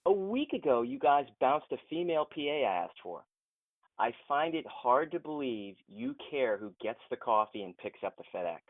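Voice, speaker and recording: An actor playing a frustrated, principled film producer in an audio drama, earnest and insistent, heard over a thin phone line. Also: male voice, mid-range voice, very animated, normal speed, creaky, dry recording. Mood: frustrated, pressing. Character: frustrated, principled male film producer challenging his boss. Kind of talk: modern audio drama acting.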